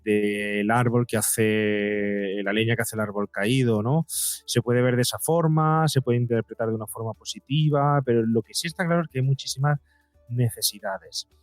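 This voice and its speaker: A man's voice: medium pitch, normal speed, no echo, soft background music. Middle-aged man, dry close sound. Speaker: interviewed guest, psychologist. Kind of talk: conversational interview explanation.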